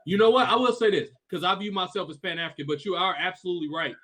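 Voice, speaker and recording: A man's voice: high voice, quick pace, bright, forceful tone. A man from Chicago hosting a casual livestream chat, talking bluntly and emphatically with a lot of profanity.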